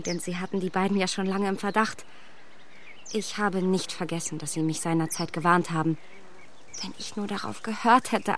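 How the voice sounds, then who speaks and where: An actress playing a proud, candid young gentlewoman in a radio drama, polite and articulate as she reproaches herself. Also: mid-range voice, a little hiss or hum, close to an everyday voice. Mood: guarded, polite. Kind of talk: German radio drama acting of a classic novel.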